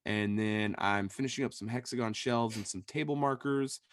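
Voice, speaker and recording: A man co-hosting a podcast in casual chat, hoarse and losing his voice, calling in remotely and rambling with restarts. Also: fairly low pitch, moderate pace, no room sound, clean studio sound. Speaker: podcast co-host. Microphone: remote call connection.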